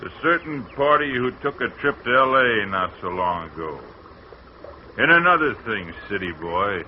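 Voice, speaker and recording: A hulking, surly farmhand growls out threats in a gruff, lowish voice. It is 1940s radio acting, heard through a muffled broadcast with a faint hiss behind it. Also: male voice, big pitch swings, normal speed. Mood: threatening. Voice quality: gruff, menacing.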